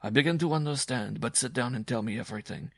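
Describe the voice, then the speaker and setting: A man voicing a sympathetic male circus bareback rider who talks in a soothing, persuasive way with a gravelly husky voice. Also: medium pitch, quick pace, a bit bright, no room sound, clean studio sound, voice pushed up. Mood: concerned.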